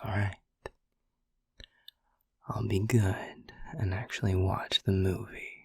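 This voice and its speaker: A man's voice: deep voice, level tone, takes it slowly, dry recording, clean studio sound, full character voice. A man voicing a flirty, protective male incubus in an audio roleplay, with a teasing, cocky manner. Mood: flirty, playful. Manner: teasing, seductive, breathy.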